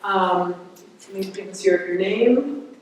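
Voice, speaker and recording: A woman's voice: mid-range voice, slow pace. A woman moderating a panel discussion in a casual, chatty way, with many fillers. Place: large event hall with an audience. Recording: echoey hall from far off, faint noise.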